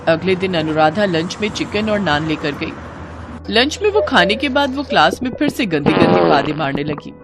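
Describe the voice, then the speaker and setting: A woman narrating a scripted animated moral story as storyteller, in an expressive, dramatized way. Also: lowish voice, moderate pace, music in the background.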